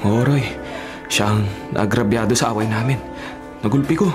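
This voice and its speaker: A man with a Filipino accent playing a hot-headed, excitable prison inmate in a radio drama. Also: lowish voice, moderate pace, close to an everyday voice. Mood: eager, vengeful. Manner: excitable, theatrical. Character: hot-headed male inmate bent on revenge. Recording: music behind the voice.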